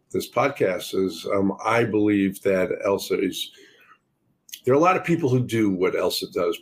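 A low-pitched man hosting an interview podcast. He speaks in a friendly, easygoing way, trailing through "um" and restarting as he sets up his guest. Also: low-quality recording. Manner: friendly, rambling with fillers. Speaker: podcast host.

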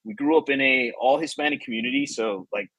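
Podcast guest, man in his 30s, ex-cop from Chicago, native Spanish speaker; husky, casual, profane storyteller, dry close mic. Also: medium pitch, fast, a bit bright, dry recording, low-quality recording. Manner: laid-back, laughing, profane storyteller. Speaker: podcast guest, former police officer.

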